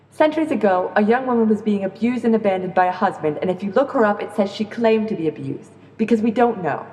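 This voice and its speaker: A woman performing spoken-word slam poetry in a rhythmic, earnest, deliberate way. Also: mid-range voice, darkish tone. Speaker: slam poet. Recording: echoey reverberant room.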